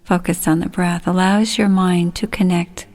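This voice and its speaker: A woman leading a guided relaxation, speaking soothingly and gently in short, unhurried phrases. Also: on the low side, little pitch movement, a little hiss or hum.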